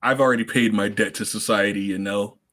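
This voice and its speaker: A man's voice: on the low side, steady tone, brisk, fairly bright tone. A man playing a tabletop game with friends, in casual banter.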